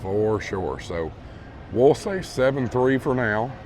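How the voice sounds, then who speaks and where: A hoarse man losing his voice, casually walking viewers through a large store and calling them folks. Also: medium pitch, lively intonation, takes it slowly, darkish tone, slight background noise. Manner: easygoing, repeats praise, addresses viewers as folks. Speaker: vlog host touring a store. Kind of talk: casual walkthrough narration. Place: large retail store indoors. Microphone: handheld phone camera.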